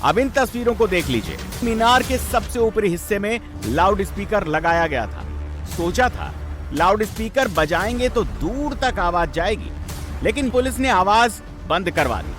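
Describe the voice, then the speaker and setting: A man's voice: sits high, sing-song, normal speed. A man presenting scripted TV news narration in an emphatic, sensational, dramatic way. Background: background music.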